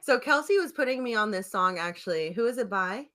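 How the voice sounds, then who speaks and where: A woman hosting a podcast in casual chat, informal and quick to chime in with reactions and agreement. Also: a little high, animated, pushed and bright. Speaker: podcast host.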